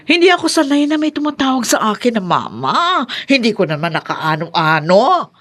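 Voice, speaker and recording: A woman's voice: fairly high pitch, big pitch swings, moderate pace, a bit bright. An actress playing a possessive, guilt-tripping older mother in a Filipino radio drama, with a pointed, reproachful delivery. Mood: cold, disapproving.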